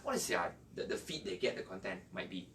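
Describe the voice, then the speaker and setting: A Malaysian man, a native Chinese speaker, answering interview questions conversationally in halting, non-native English. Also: sits low, very animated, a bit bright. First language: Chinese (Cantonese and Mandarin). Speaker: interview guest, entrepreneur and former radio announcer. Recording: distant mic with faint background noise.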